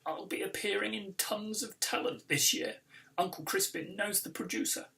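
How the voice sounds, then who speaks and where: A boastful, sneering schoolboy from a children's storybook, acted out by a man. He snaps and hisses with disdain in a bright, forceful voice with a creaky edge. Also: high-pitched, normal speed, whispered, recorded from a distance, voice pushed up, full character voice. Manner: snapping, hissing, disdainful.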